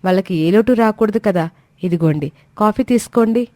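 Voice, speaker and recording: A woman's voice: medium pitch, a little mellow, no echo, studio quality, close to an everyday voice. Woman as a dignified, patient young daughter-in-law. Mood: calm, polite. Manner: polite, measured, firm. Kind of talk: dramatized animated moral story.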